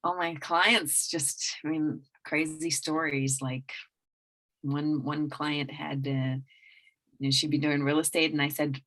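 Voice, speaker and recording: Casual: woman from england w/ blended accent, deep-ish voice, lots of restarts and ums. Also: flat delivery, measured pace, fairly bright tone. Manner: rambling with many restarts and fillers. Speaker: guest business coach. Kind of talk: casual interview talk.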